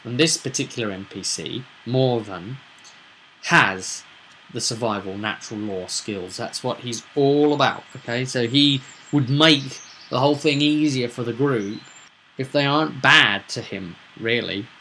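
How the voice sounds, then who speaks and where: Male game master for a casual explanatory vlog: forceful, bright delivery, emphatic and rambling, slight noise behind the voice. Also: medium pitch, a little slow, poor audio. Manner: rambling, emphatic, asks rhetorical questions. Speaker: game master recapping a tabletop roleplay session.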